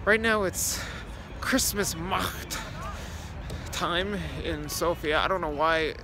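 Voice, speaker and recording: A man's voice: high-pitched, slow, background noise. A young man chatting to his phone camera held at arm's length as he walks through a city square at dusk, giving a casual tour. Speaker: vlogger. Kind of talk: casual walk-and-talk vlog.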